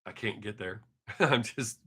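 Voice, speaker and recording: Rambling fifty-something Texan man, bright voice. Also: on the low side, unhurried, slightly rough. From Texas. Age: 50s. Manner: rambling, profane, chatty with viewers. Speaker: live streamer. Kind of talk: casual live stream chat. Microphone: webcam mic.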